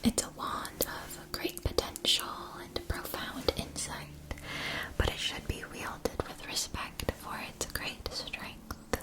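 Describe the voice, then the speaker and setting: A woman voicing a calm, knowing witch-school wand seller in a whispered, measured and formal ASMR roleplay. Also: natural voice, low-quality recording. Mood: calm, solemn. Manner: measured, deliberate, formal salesmanship.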